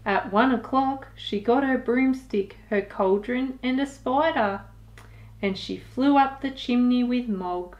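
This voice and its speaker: A woman in her thirties reading a picture book aloud to young children, expressive and deliberate, as a storytime host. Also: on the high side, lo-fi sound. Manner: expressive, deliberate, with sound effects and asides to listeners.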